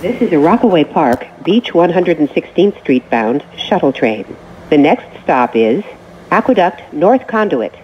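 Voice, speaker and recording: A woman reading scripted transit announcements as a train announcer, in short, measured, formal phrases. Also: sits low, sing-song. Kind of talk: scripted automated station announcements. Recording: muffled recording with train noise.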